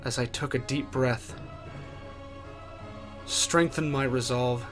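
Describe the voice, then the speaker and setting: A man narrating a first-person horror story in a theatrical way, with deliberate pauses. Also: medium pitch, steady tone. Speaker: writer and narrator. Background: music.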